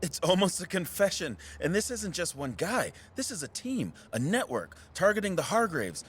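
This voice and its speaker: An actor playing a keen, suspicious amateur sleuth in a casual, excitable way in an audio drama. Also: male voice, high voice, normal speed, husky, full character voice. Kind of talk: scripted audio drama dialogue.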